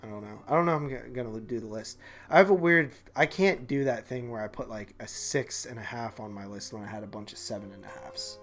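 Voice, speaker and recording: A man talks movies as a podcast co-host in a mid-pitched voice, with faint music in the background. His delivery is loose and slangy, full of conversational filler words like "like" and "man". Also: fairly quick, a bit bright. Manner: slangy, informal, heavy use of "like" and "man". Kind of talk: casual movie chat.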